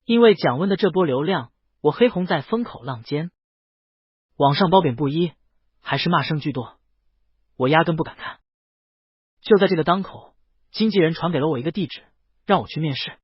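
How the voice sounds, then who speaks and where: A man's voice: on the high side, animated, normal speed, long pauses, husky, no room sound, dull audio. A man narrating a scripted first-person story as an audiobook.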